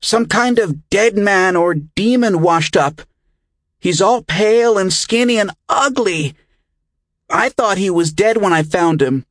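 Audiobook role: man as small village boy, breathless and eager, voice lifted high, urgent delivery. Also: expressive, a little slow, no echo. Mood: breathless, alarmed. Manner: urgent, blurting. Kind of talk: audiobook character voice.

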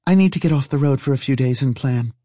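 A man's voice: mid-range voice, fast talker, mellow, dry recording, voice pushed up, close to an everyday voice. A man voicing a guarded, dry-witted woman traveler in an audiobook, with clipped, polite, formal phrasing.

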